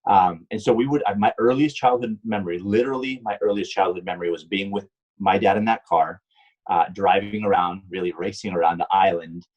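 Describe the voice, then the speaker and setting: Husky low-voiced man telling stories. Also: a little fast, darkish tone, muffled recording. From Puerto Rico. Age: middle-aged. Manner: rambling storyteller with many ums and restarts. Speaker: guest, stunt driver and car designer. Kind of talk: casual interview answers.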